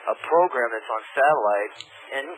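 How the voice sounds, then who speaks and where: A man's voice: medium pitch, lively intonation, normal speed, fairly bright tone. A man calling a radio show, chatting casually in a hesitant, rambling but earnest way. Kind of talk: casual phone-in chat. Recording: noisy phone call on radio.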